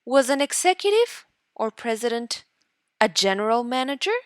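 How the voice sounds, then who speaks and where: A woman teacher reading aloud for students in an instructional way, deliberately and in short guiding phrases. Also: a little high, big pitch swings, dry recording, clean studio sound. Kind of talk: instructional read-aloud lesson narration.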